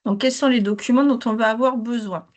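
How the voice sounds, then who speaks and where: A hoarse woman who manages a claims team, steadily explaining procedures in a training session over a video call. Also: a little high, steady tone, brisk.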